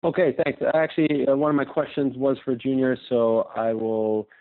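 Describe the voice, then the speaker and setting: A man's voice: mid-range voice, level tone, normal speed, narrow, tinny audio. A man working as a journalist, asking questions on a press conference call over the phone.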